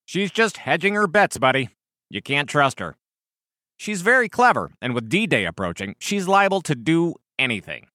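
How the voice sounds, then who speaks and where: A man voicing a bright, exasperated turkey with swooping pitch. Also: sing-song, moderate pace, no room sound, clean studio sound. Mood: wary, firm. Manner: urgent, scolding, emphatic. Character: protective, exasperated male turkey, wary older-brother type. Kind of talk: animal storybook character voice.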